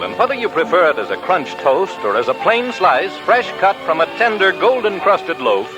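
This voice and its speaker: A man's voice: a little high, rough recording. A man announcing on 1940s radio with a polished, emphatic sales delivery. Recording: old 1940s radio broadcast recording. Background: music.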